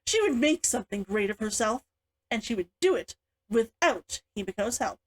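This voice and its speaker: A woman reading her own book aloud as an author, in an expressive audiobook style. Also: a little high, moderate pace.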